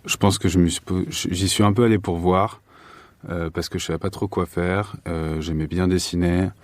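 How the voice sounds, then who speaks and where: A French man answers casually in an interview, understated and a little hesitant. His pitch sits very low and barely moves. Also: fairly even intonation, moderate pace, darkish tone, no room sound. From Paris, France. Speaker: architect interview guest.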